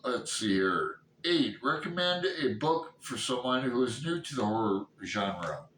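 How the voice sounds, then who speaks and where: A man in his sixties chatting casually and unscripted about books, halting and rambling as he thinks aloud. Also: mid-range voice, lively intonation, fairly bright tone. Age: 60s. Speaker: book reviewer vlogger. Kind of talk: casual unscripted book chat. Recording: roomy echo, distant mic, background noise.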